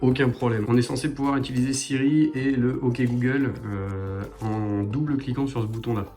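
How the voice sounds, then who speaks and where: A man's voice: mid-range voice, steady tone, moderate pace, dark tone, soft background music. A man talks informally as a motorcycle vlogger, explaining a product step by step in a hands-on review.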